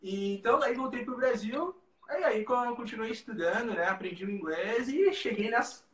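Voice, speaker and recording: A Brazilian teacher speaks in an easygoing, friendly way on a video call. His voice is high and bright. He sounds far from the mic in a roomy space. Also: normal speed. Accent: Brazilian Portuguese. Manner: friendly, relaxed, many "né" tags. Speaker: language teacher. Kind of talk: guest on livestream.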